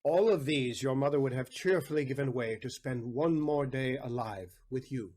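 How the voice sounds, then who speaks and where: A man's voice: mid-range voice, normal speed, a little mellow, lightly acted. A man playing a bitter, suspicious old miserly father, a moneylender, in a Shakespearean audio play. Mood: reproachful.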